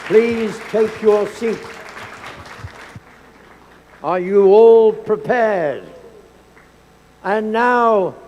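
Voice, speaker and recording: A man's voice: sits high, a little mellow. A man presenting an exhibition match on stage, with a playful, mock-grand formal delivery. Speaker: event presenter. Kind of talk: theatrical stage announcing. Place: large event hall. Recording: echoey hall with faint ambience.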